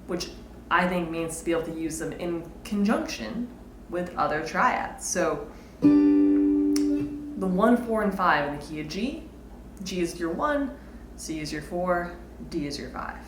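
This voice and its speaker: A woman's voice: fairly low pitch, animated, a little slow. A woman giving an informal guitar lesson, explaining casually and thinking aloud as she goes. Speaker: guitar teacher. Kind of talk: informal instructional talk with demonstrations. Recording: roomy echo, guitar played alongside. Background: electric or acoustic guitar playing.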